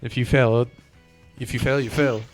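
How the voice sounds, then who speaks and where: A man's voice: medium pitch, steady tone, moderate pace. A man chatting casually as he livestreams a video game. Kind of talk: casual gaming chat. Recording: music playing behind the voice. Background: music.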